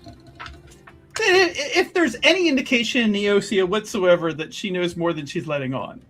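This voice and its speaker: A woman's voice: medium pitch, lively intonation, moderate pace, fairly bright tone, faint music behind. A woman playing a tabletop roleplaying game, chatting casually with the group. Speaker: player.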